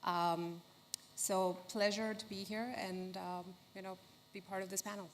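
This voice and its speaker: Measured woman investor from India. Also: medium pitch, flat delivery, a little hiss or hum. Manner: measured, with frequent "sort of". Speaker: investor, fund manager. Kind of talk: panel discussion. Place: conference hall stage.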